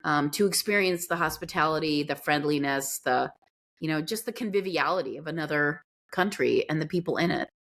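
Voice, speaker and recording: A woman speaks as a podcast guest in a relaxed, conversational way. Her voice sits fairly low for a woman. She tells her stories with expression. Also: a little fast. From grew up in Guyana and Pakistan. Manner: expressive storytelling, acts out quoted reactions. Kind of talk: casual conversational interview.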